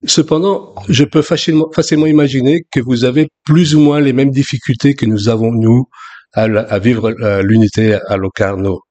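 A man from France in his sixties, preaching a sermon in a relaxed, conversational way with frequent restarts. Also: mid-range voice, moderate pace. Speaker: pastor.

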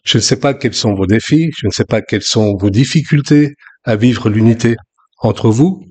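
A man from France in his sixties, preaching a sermon in a relaxed, conversational way with frequent restarts. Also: medium pitch, moderate pace, breathy edge. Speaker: pastor.

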